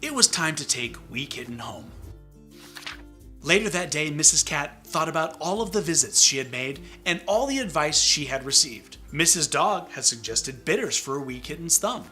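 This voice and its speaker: A man reading a children's story aloud as host, in an animated style with comic touches. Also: a little high, moderate pace, faint music behind.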